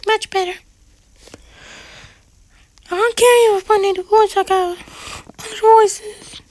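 A man using a pitch-shifting voice changer to make a squeaky high voice-changed persona, chatting slowly and offhand while playing a game. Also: fairly even intonation, no echo.

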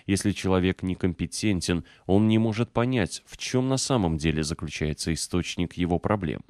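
A man's voice: low voice, darkish tone, no room sound, clean studio sound. A man reading a non-fiction audiobook as a scripted narration.

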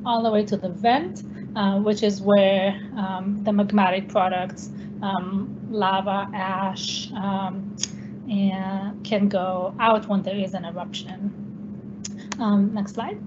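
Israeli-born woman lecturing over a video call. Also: mid-range voice. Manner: explanatory, many ums, slightly non-native phrasing. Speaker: guest scientist. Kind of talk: online presentation lecture. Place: home room. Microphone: laptop webcam video call. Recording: dull lo-fi video call with background noise.

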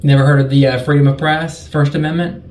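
A man's voice: on the high side, little pitch movement, quick pace, darkish tone. A man speaking as a citizen journalist, challenging and persistent in a face-to-face exchange. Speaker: citizen journalist filming in a public office. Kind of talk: confrontational exchange. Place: small indoor office. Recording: roomy office echo with slight noise.

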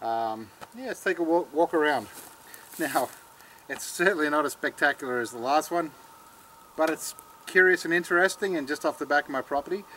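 A man's voice: on the high side, a little fast, a little hiss or hum, low-quality recording. Rambling Australian man outdoors. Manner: rambling, relaxed, many fillers and restarts. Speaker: channel host. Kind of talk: casual walking tour vlog. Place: outdoors in rural woodland.

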